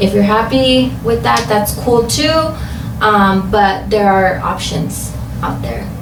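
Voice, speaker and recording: A woman from Los Angeles, a guest in a casual interview at home, talking in a relaxed, hesitant way with many ums. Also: medium pitch, takes it slowly. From Los Angeles, USA. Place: home craft room. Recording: roomy, distant, noisy home recording.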